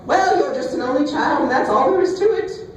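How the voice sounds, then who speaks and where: A man's voice: very high-pitched, a little fast, pitched above the performer's own voice. A man voicing a flustered, fibbing mother in a comic fairy tale, defensive and evasive. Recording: echoey, distant, noisy live recording.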